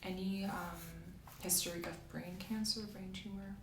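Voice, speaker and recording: A woman speaks softly at a medium pitch, in the manner of an ASMR doctor. She is gentle and unhurried as she questions and instructs a patient. The room adds noticeable echo, and there is clear noise behind her. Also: steady tone. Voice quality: soft-spoken. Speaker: ASMR creator playing a doctor doing a medical exam. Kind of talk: soft-spoken ASMR medical roleplay. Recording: roomy echo, clear background hiss.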